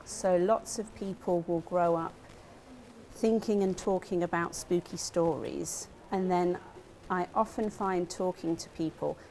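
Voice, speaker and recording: Low-voiced British woman lecturer, gravelly. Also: unhurried, mellow, a little hiss or hum. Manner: chatty, many "you know" fillers. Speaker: film lecturer guest. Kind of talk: studio interview answers.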